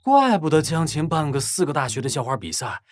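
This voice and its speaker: A woman's voice: low-pitched, sing-song, a little fast, a little mellow, soft background music. A woman playing an outspoken, gossipy young college student in an audio drama, exclamatory in manner. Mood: gossipy, amazed.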